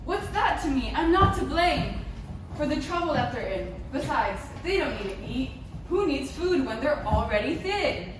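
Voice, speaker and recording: A high-voiced old miser, acted by a woman, declaims rhyming pantomime lines theatrically. The voice is distant in a very echoey hall, with music behind. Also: big pitch swings, moderate pace, noisy background. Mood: dismissive, irritable. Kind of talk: pantomime stage acting. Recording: very echoey stage from far off, music.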